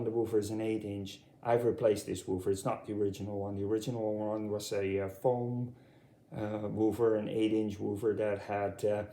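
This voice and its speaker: A middle-aged man from Europe giving a casual, unscripted walkthrough as a hobbyist, speaking haltingly and repeating words. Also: on the low side, mellow. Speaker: audio hobbyist presenting his speaker collection. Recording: echoey room sound.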